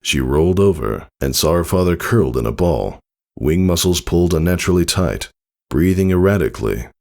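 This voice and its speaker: A man's voice: deep voice, lively intonation, fairly quick, creaky, darkish tone. A man narrating a scripted story in a dramatic reading style. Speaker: fan fiction narrator.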